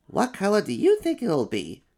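Brief: man playing a squeaky, outspoken little monster kid, lively, storybook. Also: normal speed, creaky, exaggerated voice. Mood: curious. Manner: high, piping and blunt.

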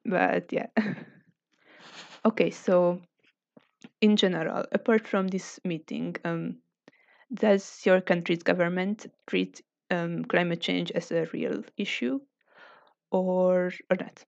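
A woman from Hungary leads a casual group chat over a video call. Her voice sits low and she pauses often, filling gaps with "um". The audio is dry and a little muffled. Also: steady tone, no echo. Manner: hesitant, many filler sounds. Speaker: discussion host. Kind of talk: casual online group discussion.

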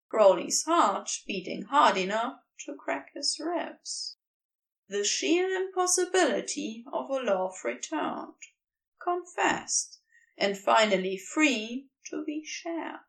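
A woman reading a romantic story as scripted narration, tender and unhurried in her storytelling, a little away from the mic. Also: fairly high pitch, creaky, recorded from a distance, low-quality recording. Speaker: amateur fan fiction narrator.

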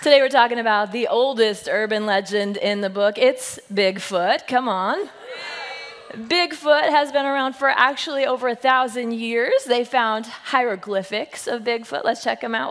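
A woman in her thirties, a senior pastor with a husky voice, giving a playful, joke-filled sermon to her congregation. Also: fairly high pitch. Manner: playful storytelling, self-deprecating jokes, lively quoted voices. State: husky voice today. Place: church sanctuary. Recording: long reverb of a large hall.

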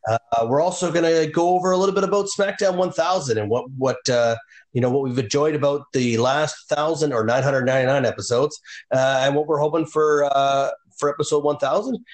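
A man's voice: fairly high pitch, a little fast, echoey room. A man co-hosting a casual wrestling podcast, rambling with lots of fillers and restarts. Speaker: podcast co-host. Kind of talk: casual chat.

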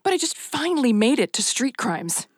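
A woman's voice: sits high, big pitch swings, normal speed, no room sound, studio quality. An actress playing a bitter, demoted female police detective with a curt, sardonic manner. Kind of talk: audio drama acting with narration.

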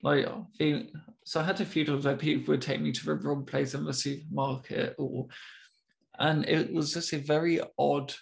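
A young man who stammers, talking casually as the interviewer, with repeated words and long pauses. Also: on the high side, level tone, creaky, low-quality recording. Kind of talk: casual conversation.

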